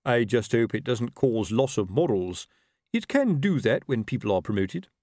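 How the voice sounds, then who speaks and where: A man's voice: medium pitch, big pitch swings, normal speed, darkish tone, no room sound, clean studio sound, natural voice. Man doing a pompous, dim commanding officer. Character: self-important, dim male commanding officer, blustering.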